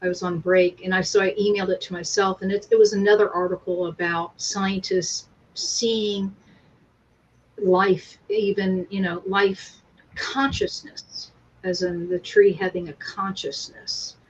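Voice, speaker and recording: A woman's voice: medium pitch, measured pace. A woman talking casually in a discussion, on a muffled lo-fi recording. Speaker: co-host. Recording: muffled lo-fi audio with clear noise.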